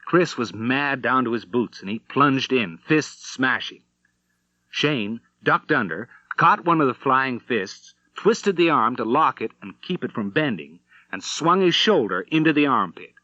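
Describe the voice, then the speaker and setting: A man reading a western novel as an audiobook narrator, in measured, dramatic storytelling. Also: mid-range voice, lively intonation, sharp tone, no echo, highs cut off. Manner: measured storytelling with acted dialogue.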